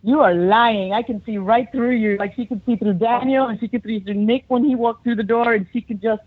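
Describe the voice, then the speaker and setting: Animated woman, tinny phone-line voice. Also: a little high, fairly quick, slightly rough, dry recording. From United States. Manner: animated storyteller, acts out quotes, laughs often. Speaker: actress, interview guest. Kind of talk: casual interview chat.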